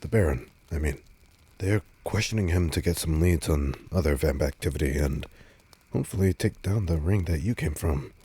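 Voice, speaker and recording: Male actor as easygoing vampire boyfriend, low-pitched, very breathy and husky, wry, protective, casual intimate audio roleplay. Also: normal speed, warm tone. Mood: grave, candid. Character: laid-back, teasing, protective male vampire on a date.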